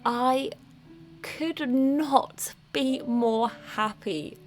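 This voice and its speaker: A British woman vlogging about crafts in a chatty, excitable, playful and cutesy way. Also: high voice, very slow, soft background music. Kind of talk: chatty craft vlog with narrated voice-over.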